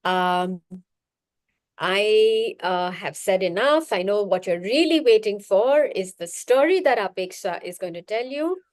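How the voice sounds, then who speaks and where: A woman hosts a live event with a casual, slightly hesitant introduction. Her voice is mid-pitched and recorded dry, with very little room sound. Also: measured pace, no room sound. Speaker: event host introducing a storyteller.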